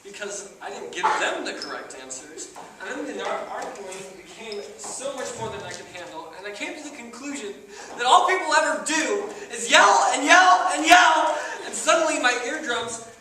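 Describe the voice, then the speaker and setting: A man's voice: extremely high pitch, animated, moderate pace, a crowd in the background. Man acting awkward wordy loner, very echoey stage recording. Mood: agitated. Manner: wordy, stilted, overly precise phrasing. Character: awkward, talkative, socially naive loner man in a bar. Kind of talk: amateur one-act stage comedy-drama acting. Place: theatre stage, recorded from a distance. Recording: echoey theatre, distant mic, loud noise.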